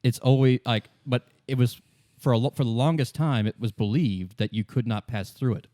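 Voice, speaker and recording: A man narrating as game master, explaining fictional lore in a measured, solemn and deliberate storytelling manner. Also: mid-range voice, dark tone, no echo, clean studio sound. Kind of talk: improvised lore exposition in a tabletop game.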